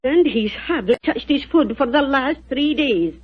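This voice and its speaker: A woman's voice: high voice, lively intonation, a little slow. An actress playing Mrs Hudson, a chatty, worried landlady, in a 1940s radio drama. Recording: muffled old 1940s radio broadcast.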